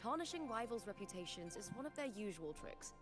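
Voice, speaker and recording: A proud young arena warrior from a video game, played by an actress. She speaks with self-assured, matter-of-fact confidence at an ordinary female pitch. Loud game music plays behind her. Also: sing-song, a little fast, natural voice.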